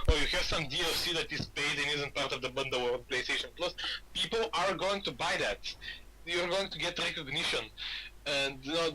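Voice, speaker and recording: A man's voice: fairly high pitch, bright, forceful tone, slight background noise, rough recording. A man from Bulgaria chatting casually on a gaming podcast, rambling and often repeating his words. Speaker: podcast host.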